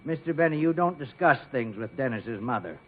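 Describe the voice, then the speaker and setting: A man's voice: medium pitch, animated, fairly quick, natural voice. A man playing a meek, resigned, henpecked middle-aged husband in a 1950s radio comedy, on a tinny recording with an audience. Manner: resigned, timid.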